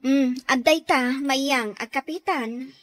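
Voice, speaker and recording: High-voiced village housewife, drowsy but hospitable, radio drama. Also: female voice, slow.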